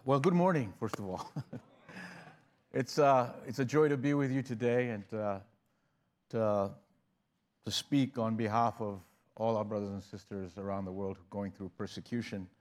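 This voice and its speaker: A man's voice: on the low side, normal speed, long pauses, a little mellow. A man preaching a sermon in a conversational style, often restarting phrases and rising in bursts of emphasis. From Uganda. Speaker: guest preacher.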